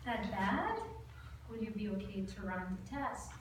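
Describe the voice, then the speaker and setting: A woman's voice: medium pitch, lively intonation, unhurried, lightly acted. A woman reading the part of a blunt, teasing neurology professor who mentors a younger colleague. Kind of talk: table read. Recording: echoey room, mic far off, background noise.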